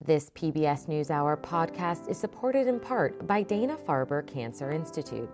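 A woman with a distinctly deep voice reads a scripted sponsor message. Music plays behind her announcer's narration. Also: very animated, normal speed.

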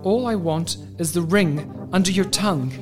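A man's voice: sits high, normal speed, darkish tone, close to an everyday voice. A man playing an ambitious, demanding young peasant boy in a folktale radio play. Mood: eager. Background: music.

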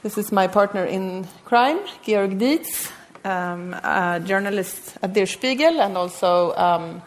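A woman's voice: medium pitch, slow pace. A woman hosting a live podcast on stage, introducing guests with frequent ums. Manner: fluent with frequent filler ums. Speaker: podcast host. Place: stage before a live audience.

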